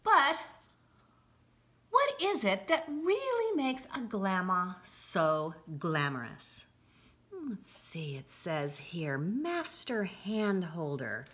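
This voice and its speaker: A middle-aged woman reading a children's picture book aloud, deliberately and with expressive emphasis, recorded indoors a short distance from the camera. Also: medium pitch. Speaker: school read-aloud presenter. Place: indoors, home-like room with armchairs.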